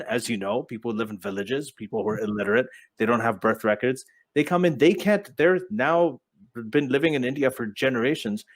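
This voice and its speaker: A man who grew up in Saudi Arabia and Pakistan co-hosts an online debate over a video call. He challenges the other side with pointed questions and talks over interruptions to finish his argument. His voice sits at a middling pitch. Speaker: debate co-host.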